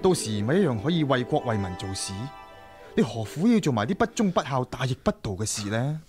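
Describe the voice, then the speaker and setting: A man's voice: mid-range voice, sing-song, fairly quick, a little mellow, soft background music, lightly acted. A man playing a proud, wary Qing emperor in a Cantonese radio drama, imperious and defensive. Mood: stern, sighing.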